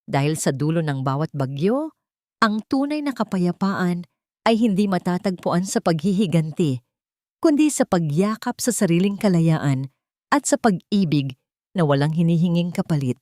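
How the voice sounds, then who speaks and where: A woman narrating a scripted love story in a dramatic, measured and solemn storytelling style. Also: lowish voice, lively intonation, mellow, no room sound, studio quality.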